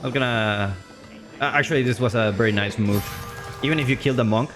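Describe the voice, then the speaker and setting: A man streaming live commentary on a strategy game match. He is chatty and loose, and his voice leaps up on big plays before settling back to calm explaining. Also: brisk, music in the background. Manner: excitable, voice jumps high on big plays, then calm explaining; loose and chatty, with fillers and restarts.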